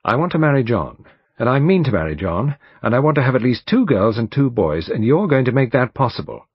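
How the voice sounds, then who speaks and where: A man's voice: medium pitch, sing-song, a little fast, slightly rough, no echo, voice pushed up. A man reading an audiobook, voicing an earnest, determined young woman who speaks insistently. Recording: dull lo-fi recording.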